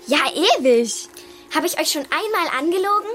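A woman's voice: extremely high pitch, animated, normal speed, fairly bright tone, soft background music. A woman acting an eager, spirited schoolgirl daughter in a children's audio drama, with youthful, colloquial delivery. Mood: excited, boastful. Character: spirited, pop-star-obsessed schoolgirl daughter.

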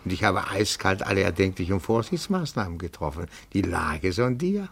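A man's voice: sits low, very animated, normal speed, creaky, slightly rough, full character voice. An actor playing a timid, pedantic, fawning amateur detective in a radio play, formal and deferential in his speech. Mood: earnest, self-assured. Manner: formal, deferential, meticulous, hesitant. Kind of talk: theatrical radio play acting.

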